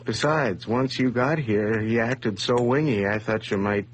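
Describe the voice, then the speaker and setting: A man's voice: fairly low pitch, unhurried, slight background noise, close to an everyday voice. An actor playing a nervous, scheming man who stammers and dodges as he confesses at gunpoint in a radio play. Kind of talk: 1980s radio drama acting.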